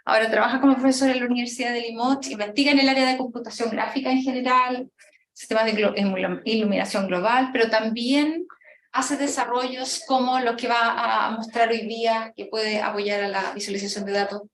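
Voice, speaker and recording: A woman's voice: a little high, steady tone, fairly quick, reverberant, rough recording. Woman introducing a guest speaker. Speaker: seminar host.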